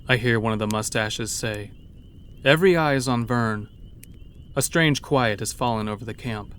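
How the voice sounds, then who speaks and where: A man's voice: medium pitch, moderate pace, fairly bright tone, slight background noise. A man narrating a scripted first-person horror story in a dramatic storytelling manner. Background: background music.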